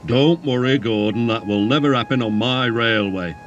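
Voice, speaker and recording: A man voicing a calm, firm, reassuring railway boss in a children's story. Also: mid-range voice, normal speed, muffled recording, faint music behind, natural voice.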